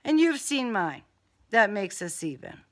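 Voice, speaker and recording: A woman's voice: medium pitch, sing-song, a little slow, a bit bright. A woman voicing a tough, sarcastic young female cat burglar in an audiobook, slangy and defiant. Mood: irritated.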